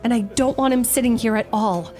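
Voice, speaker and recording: Prim young miss played by a woman, higher-pitched, easily flustered and cutting, for radio romantic comedy. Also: fairly quick, mellow, faint music behind, close to an everyday voice. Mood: indignant, nervous. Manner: stammering, indignant, cutting. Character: prim, flustered, sharp-tongued young single woman awaiting a date. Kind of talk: old-time radio romantic comedy acting.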